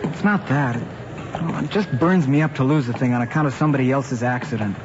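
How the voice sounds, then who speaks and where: An actor playing an earnest, aggrieved eighteen-year-old American son in a radio drama, talking in plain slang. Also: male voice, mid-range voice, quick pace, lightly acted. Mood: resigned, sulky. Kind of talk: mid-century American radio drama acting. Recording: muffled, noisy old radio recording.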